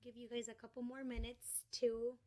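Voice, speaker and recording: A woman teaching an online class to small children, her voice going hoarse, with a sing-song, encouraging manner. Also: fairly high pitch, flat delivery, moderate pace, dark tone. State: losing her voice. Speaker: kindergarten teacher.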